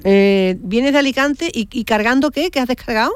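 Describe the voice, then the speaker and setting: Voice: medium pitch, moderate pace, smooth, dry recording. A man hosting a live radio talk show, chatting casually and reacting briefly to others. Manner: chatty, interjects with short reactions. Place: radio studio.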